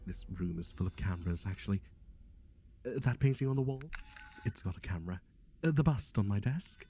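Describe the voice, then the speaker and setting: A man's voice: on the low side, expressive, a little fast, warm tone, slight background noise. A man playing a flippant, scatterbrained matchmaking agency boss in an ASMR roleplay, rambling offhandedly and muttering asides to the listener. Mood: blasé, darkly comic. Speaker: voice actor.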